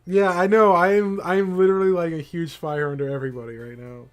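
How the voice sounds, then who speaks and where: Brief: man streaming a game, jokey banter, bursts of loud laughter. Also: high voice, steady tone, fast talker, smooth. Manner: joking, laughs loudly. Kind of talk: let's play commentary.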